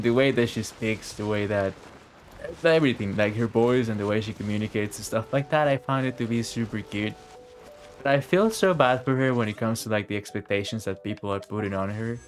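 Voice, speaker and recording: A man doing casual live game commentary as a streamer, chatty and informal, repeating himself and swearing lightly. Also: mid-range voice.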